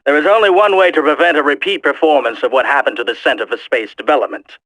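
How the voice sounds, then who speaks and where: A man playing a coldly resolute terrorist leader in a video game, measured, formal and threatening. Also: on the high side, fairly bright tone, dry recording, natural voice, rough recording. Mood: cold menace. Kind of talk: video game villain monologue.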